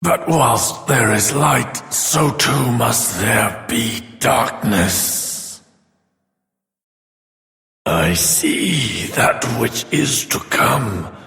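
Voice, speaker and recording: Game demon overlord, very gravelly, menacing, grandiose, theatrical, cavernous reverb, music behind. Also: male voice, mid-range voice, animated, extremely slow, hardly any pauses, sharp tone, exaggerated voice. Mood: ominous. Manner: pompous, menacing, theatrical proclamations. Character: grandiose demon overlord villain, bringer of oblivion. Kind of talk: video game villain voice acting.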